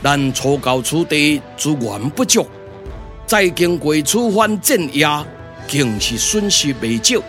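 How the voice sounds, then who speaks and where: A man's voice: fairly high pitch, expressive, takes it slowly, fairly bright tone, pitched below the performer's own voice, heavily acted. A man with a Taiwanese accent playing an imposing, righteous rebel leader, solemn and formal, declaiming in a puppet radio drama. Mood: measured, solemn. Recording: music under the voice.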